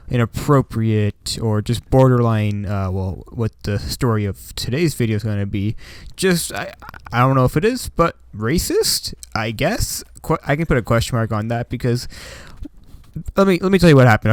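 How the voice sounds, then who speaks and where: A man's voice: medium pitch, expressive, normal speed, smooth, no echo. A young man from Washington State, the channel host, telling a story in a casual, rambling way with lots of "like" and "you know". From Washington State, United States.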